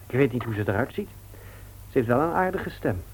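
An actor playing an amiable, slightly sheepish grown-up son in a Dutch radio play, casual and a little hesitant. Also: male voice, mid-range voice, expressive, fairly quick, natural voice. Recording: noisy old radio play recording.

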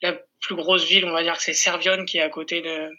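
High, very bright-voiced male guest. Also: level tone, fairly quick. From Corsica, France. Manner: didactic, explanatory. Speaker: interview guest, football coach. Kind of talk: conversational explanation.

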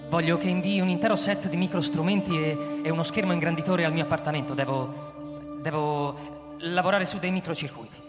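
An actor playing a troubled man who has found he is an android, reasoning obsessively and haltingly to himself in a radio drama. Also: fairly high pitch, fairly even intonation, close to an everyday voice. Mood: brisk, focused. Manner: halting, repeats words to himself. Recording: reverberant hall, loud music behind. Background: music.

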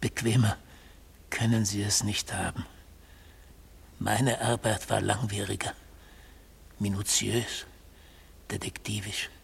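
An old mystical bomber god under interrogation. His voice is very husky and full of gravel. He speaks with deliberate irony and complete self-possession, in the style of a 1970s radio drama. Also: on the low side, flat delivery, full character voice. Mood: sardonic, proud. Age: older. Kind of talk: 1970s literary radio play acting.

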